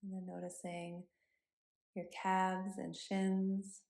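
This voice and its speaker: A woman yoga instructor leading a body scan meditation in a gentle, instructive manner with pauses between cues. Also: mid-range voice, monotone, gravelly. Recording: roomy, echoing sound.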